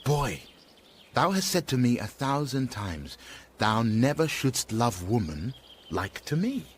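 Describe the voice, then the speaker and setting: An actor playing a noble, lovestruck count in a radio Shakespeare drama, with measured, formal delivery. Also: male voice, mid-range voice, big pitch swings, a little hiss or hum. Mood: tender resolve. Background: music.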